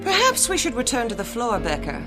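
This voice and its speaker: A woman's voice: fairly high pitch, wildly swooping pitch, fairly quick. Poised society lady, lilting. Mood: cool, guarded. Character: poised, flirtatious, cool wealthy female hotel guest. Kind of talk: radio drama acting. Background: music.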